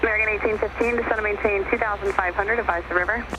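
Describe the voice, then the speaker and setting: Fast female air traffic controller on radio. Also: medium pitch, steady tone, lo-fi sound, over music. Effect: aviation radio. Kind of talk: brisk procedural radio instructions. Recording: noisy aviation radio heard in cockpit.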